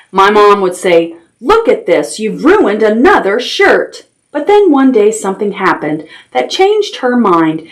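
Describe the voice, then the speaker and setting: A woman hosting a children's storytime, reading aloud expressively and talking simply and directly to young listeners. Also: mid-range voice. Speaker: library storytime host. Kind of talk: children's storytime with action songs and read-aloud.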